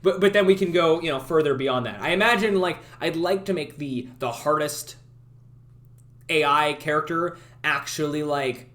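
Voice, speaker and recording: A young man streaming his game development, chatting casually and thinking out loud as he works, often correcting himself. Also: a little high, moderate pace. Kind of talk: casual live-stream chat while working.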